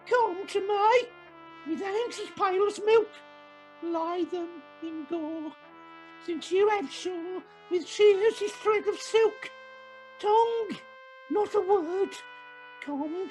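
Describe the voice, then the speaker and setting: Radio Shakespeare: overwrought mourning maiden, piercingly high, very slow declaiming, music underneath. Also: male voice, a little mellow, heavily acted. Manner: drawn-out mock-tragic declaiming. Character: amateur player as maiden Thisbe, shrill, overwrought, mourning.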